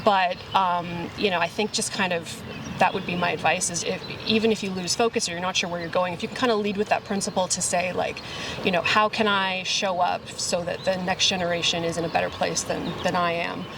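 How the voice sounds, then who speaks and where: Brief: Canadian woman guest, low-ish voice, reflective and earnest, a bit off-mic with outdoor noise. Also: steady tone, fairly quick, far from the mic. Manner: reflective, earnest, many fillers. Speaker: tournament director, guest. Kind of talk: casual interview chat.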